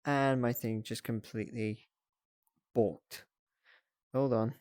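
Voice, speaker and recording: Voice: medium pitch, steady tone, slow pace, a little mellow, dry recording. Giggly streamer just chatting away, takes their time, close dry mic. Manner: giggly, chatty, thinking aloud. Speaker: livestreamer hosting a reaction stream. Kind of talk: casual livestream chat.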